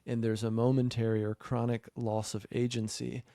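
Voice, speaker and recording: A man hosting an interview as a trauma therapist, reflecting on his guest's story in a measured, affirming way. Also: medium pitch, level tone, mellow, no room sound. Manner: measured, affirming, frequent fillers. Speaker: podcast host, trauma therapist.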